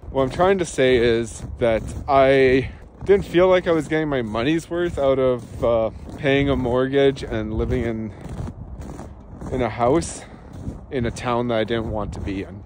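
Rambling, relaxed man vlogging on the go. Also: medium pitch, measured pace, background noise. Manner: relaxed, rambling with many fillers. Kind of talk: casual vlog chat. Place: in a truck and outdoors on a snowy trail. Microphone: phone held at arm's length.

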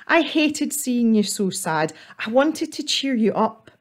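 A woman's voice: fairly high pitch, sing-song, takes it slowly, pitched above the performer's own voice, full character voice. A woman voicing a kind, flustered village woman in a storybook, who speaks in a sing-song, apologetic way.

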